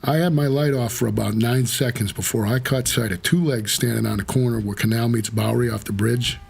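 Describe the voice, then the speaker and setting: An actor playing a world-weary, streetwise New York cab driver, narrating a noir audio drama in a wry, hard-boiled way with a gravelly, husky voice. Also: male voice, medium pitch, steady tone, a little fast, darkish tone.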